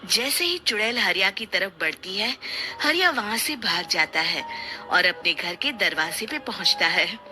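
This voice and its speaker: A woman's voice: medium pitch, animated, a little slow, pushed and bright, soft background music. A woman narrating a scripted story in a dramatic storytelling style.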